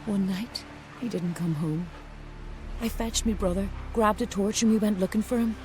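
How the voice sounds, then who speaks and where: A bereaved peasant widow from a fantasy game, voiced by an actress. She tells things plainly and somberly at a middle pitch. Music plays clearly behind her. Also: moderate pace, darkish tone, lightly acted. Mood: grieving, bitter. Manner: plain-spoken, somber storytelling. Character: grieving rustic village widow who lost husband and son. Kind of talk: video game voice acting.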